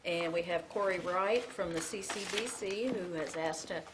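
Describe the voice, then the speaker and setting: A woman chairing a council meeting, calling speakers up in a brisk, friendly, procedural way. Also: fairly low pitch, heavy background noise. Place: council chamber.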